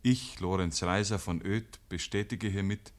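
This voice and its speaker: A man narrating a rural tale in a scripted literary radio-play style, as a measured storyteller. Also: sits low, steady tone.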